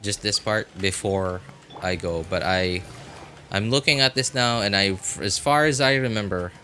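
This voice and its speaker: A man with a fairly low but bright, forceful voice talks casually as a livestream host. He rambles, circling back and rewording as he goes. Music plays in the background. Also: moderate pace. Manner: rambling, repeats and reworks phrases. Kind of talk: casual live stream chat.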